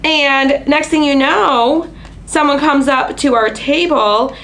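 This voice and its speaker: Stuffy-nosed chatty woman, bright voice. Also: high voice, takes it slowly, a little hiss or hum. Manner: chatty storyteller, lots of fillers and excited asides. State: stuffy and nasal from allergies. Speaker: host of a craft channel. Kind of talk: casual chat on a live stream.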